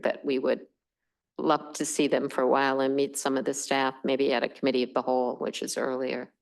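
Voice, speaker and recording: A woman chairs a village board meeting with a brisk, upbeat manner. Her voice sits very low and has a gravelly texture throughout. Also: rough recording.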